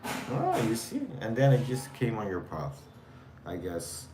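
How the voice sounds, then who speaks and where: A man from the Netherlands with a Dutch accent, chatting casually with viewers on a livestream in a relaxed, rambling way while reading their comments. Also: lowish voice, unhurried, echoey room, slight background noise. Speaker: livestream host. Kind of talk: casual live chat answering viewers.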